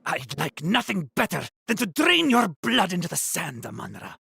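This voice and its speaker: Scheming gravelly villain, lively sneer. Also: male voice, high voice, normal speed, full character voice.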